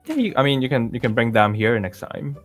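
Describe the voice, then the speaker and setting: A man playing a self-assured young male pop singer in an improvised Minecraft roleplay, talking in a halting, rambling, nonchalant way. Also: mid-range voice, big pitch swings, lightly acted. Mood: casual, encouraging. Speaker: roleplay performer. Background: music.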